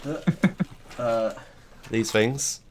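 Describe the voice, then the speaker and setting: A man hosting a podcast, talking loosely in a casual chat with his voice hoarse from a sore throat. He rambles, swears casually and laughs easily. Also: medium pitch, fairly even intonation, drawn-out words, slight background noise. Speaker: podcast host.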